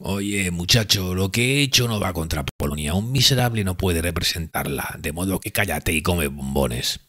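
A man voicing a guilt-ridden young man in love in an audiobook, speaking slowly and heavily. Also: low voice, animated, a bit bright, husky, no room sound, studio quality, voice pushed up, heavily acted. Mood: scornful.

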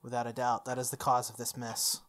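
A young adult streamer reading a visual novel aloud, with expressive delivery and casual, playful asides. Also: mid-range voice, brisk, a little hiss or hum. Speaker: game streamer. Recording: music playing under the voice. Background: background music.

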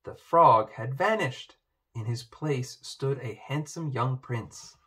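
A man reading a children's picture book aloud as narrator, in an expressive way. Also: medium pitch, slow.

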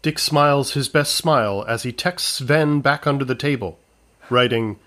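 A man narrating a scripted comedy in a measured, matter-of-fact way. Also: mid-range voice, fairly bright tone.

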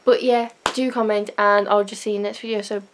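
A woman's voice: medium pitch, steady tone, brisk, background noise. A teenage girl rambling in a casual, self-mocking vlog, talking into a webcam in her bedroom. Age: teens. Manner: rambling, self-mocking, loud sudden outbursts. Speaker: vlogger. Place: small bedroom, indoors. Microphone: webcam at desk.

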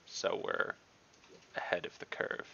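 A man's voice: unhurried, a bit bright, slight background noise. A man playing a tabletop game on a video call, explaining things casually and matter-of-factly. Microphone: computer microphone on a video call. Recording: dull, compressed online call audio.

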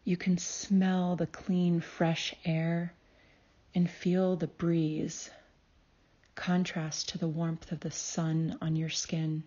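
A woman leads a guided meditation at a very slow pace, leaving long pauses between phrases. Her voice is soft-edged and mellow, sitting a little low, with a calm and soothing delivery. The recording is slightly dull. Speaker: guided meditation host and healer.

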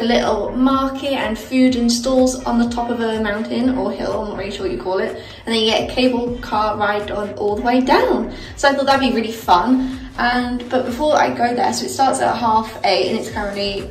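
A young woman with a fairly high voice talks into a phone held at arm's length, chatty and excitable on a travel vlog. She sounds tired from a long day, and faint music sits under her voice. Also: low-quality recording. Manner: chatty and excitable, lots of "like" and "literally". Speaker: travel vlogger. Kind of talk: casual travel vlog to camera. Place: hotel bathroom, then train and outdoor rainforest spots. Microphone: phone camera at arm's length.

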